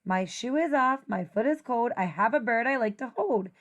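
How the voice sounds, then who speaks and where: A woman teacher reading a children's rhyming picture book aloud in an expressive, deliberate, singsong way. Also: a little high, dull audio.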